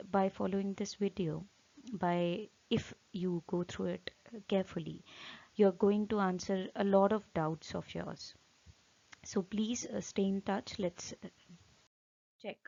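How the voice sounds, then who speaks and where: A woman's voice: mid-range voice, gravelly, dark tone. A woman in her thirties with an Indian English accent, teaching exam speaking skills in a rambling, filler-heavy talk full of "right?" tags and self-corrections. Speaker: IELTS trainer. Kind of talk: informal online teaching talk. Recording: dull lo-fi audio.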